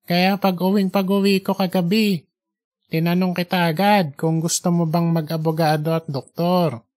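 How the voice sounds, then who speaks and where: A narrator voicing a devout, tender father in his eighties, speaking slowly and earnestly in an audiobook story. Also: mid-range voice, little pitch movement, darkish tone, close to an everyday voice. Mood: solemn, regretful. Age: 80s. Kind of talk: audiobook character dialogue.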